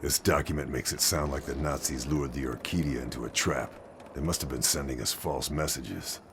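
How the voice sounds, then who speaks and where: An actor playing a confident, gruff wartime spy agent in a video game, with a dry, terse manner. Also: male voice, rumbling low, normal speed, background noise. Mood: dry, appraising. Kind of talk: video game cutscene acting.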